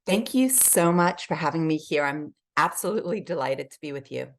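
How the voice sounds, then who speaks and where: A woman's voice: low-pitched, expressive, brisk. A woman from Switzerland and England talking effusively and emphatically as a guest in a conversational interview. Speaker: podcast guest, health advocate.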